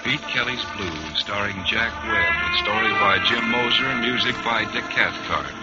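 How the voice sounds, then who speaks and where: Old-time american radio announcer guy, formal but swooping delivery, muffled, loud music behind. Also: mid-range voice, huge pitch swings, normal speed. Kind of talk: 1950s radio credits announcing.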